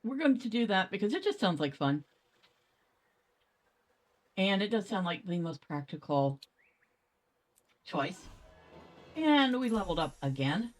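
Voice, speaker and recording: A woman's voice: medium pitch, sing-song, unhurried, pauses often. A woman giving casual game commentary, chatty and playful as she thinks aloud. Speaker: gaming video host.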